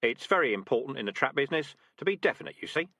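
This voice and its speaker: A man's voice: medium pitch, sing-song, fast talker, pushed and bright, no room sound, pitched above the performer's own voice. A man voicing a cocky veteran male rat, a trap expert with a dry, teasing way of talking. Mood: instructive.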